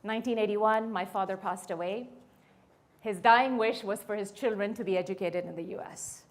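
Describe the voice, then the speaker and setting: A woman's voice: mid-range voice, moderate pace. A woman in her fifties, from Bangladesh, telling her life story to an audience as a guest speaker and asking them questions along the way. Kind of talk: personal story told to an audience.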